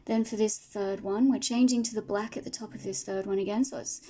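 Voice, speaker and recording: A woman teaching crochet step by step, patient and soft-spoken, her voice tired and fading from a long day of talking. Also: mid-range voice, steady tone, fairly quick. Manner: soft, patient, talks through each stitch. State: losing her voice. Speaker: crochet tutor. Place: home table, indoors.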